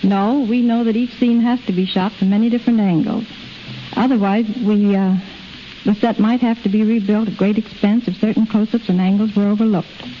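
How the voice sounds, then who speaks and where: A woman's voice: mid-range voice, fairly even intonation, a little fast. A middle-aged woman reading scripted answers as a guest in a 1930s radio interview. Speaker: film editor, interview guest. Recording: muffled, hissy 1930s radio recording.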